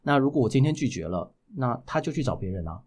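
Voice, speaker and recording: Taiwanese mandarin guy on a podcast, very lively pitch swings, casual, keeps rephrasing stuff as he explains. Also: fast talker. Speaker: guest, voice actor.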